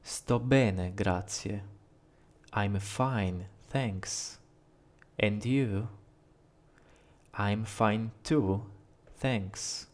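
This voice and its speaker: A man with an Italian accent, a native Italian speaker, giving a measured, carefully paced language lesson and reading short dialogue lines aloud. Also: on the low side, darkish tone. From Italy. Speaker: language teacher. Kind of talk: slow scripted language lesson.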